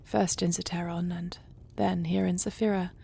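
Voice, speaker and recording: A woman playing a resolute, noble dragon-shifter queen in a fantasy audio roleplay, speaking earnestly and formally in a breathy, husky voice. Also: fairly low pitch, level tone, warm tone, full character voice. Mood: gentle, purposeful. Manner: earnest, measured, formal phrasing.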